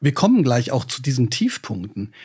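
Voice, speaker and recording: A man's voice: mid-range voice, big pitch swings, moderate pace, a little mellow, husky. A man from Germany's Ruhr area hosting a casual interview, talking in a rambling, digressive way. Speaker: interview podcast host.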